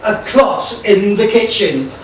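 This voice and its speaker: A pompous, scheming pantomime sheriff played by a man, pitched high and gloating with theatrical relish. The voice rings in a very echoey hall, picked up from a distance. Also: animated, slow. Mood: smug. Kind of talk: pantomime stage acting. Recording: echoey hall, distant mic, faint noise.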